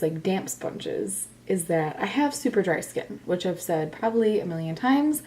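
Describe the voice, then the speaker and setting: A woman in her twenties chatting casually through a makeup first impression, a little hoarse from being sick, with lots of filler words. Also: lowish voice, mellow, a little hiss or hum. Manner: chatty, self-deprecating, lots of "like". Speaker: beauty vlogger. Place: bedroom, indoors.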